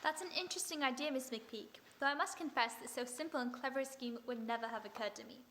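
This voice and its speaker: Woman doing a dry clever lady sleuth, kinda high voice, faint hiss behind. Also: quick pace, a bit bright. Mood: probing. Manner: dry, ironic politeness. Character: sharp-witted female amateur detective, cool and probing. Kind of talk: vintage-style radio drama acting.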